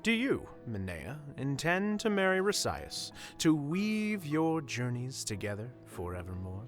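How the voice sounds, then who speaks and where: A man playing a solemn, formal wedding officiant in a fantasy audio roleplay, speaking in stately, measured ceremonial proclamations. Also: medium pitch, wildly swooping pitch, soft background music. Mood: solemn, proclaiming.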